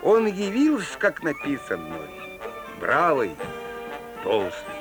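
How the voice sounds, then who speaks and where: High-voiced male storyteller, playful and theatrical, fairy-tale audio play, music underneath. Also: huge pitch swings, slow pace, exaggerated voice. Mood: playful, relishing. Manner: theatrical, playful, rustic folk-tale delivery. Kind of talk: scripted fairy-tale narration.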